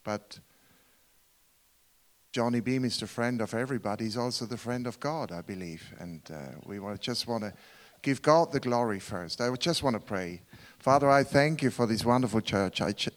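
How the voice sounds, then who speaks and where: A man with a Swiss German accent, a guest preacher, earnest and rhetorical, often asking the congregation questions. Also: on the low side. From Switzerland. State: tired from travel. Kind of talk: sermon.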